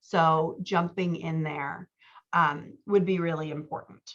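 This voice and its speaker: A woman's voice: deep voice, steady tone, measured pace, dull audio. A woman giving a conversational manuscript critique as a writing coach, explaining and thinking aloud. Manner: explanatory, thinking aloud, often checking with "right?". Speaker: writing coach and podcast host.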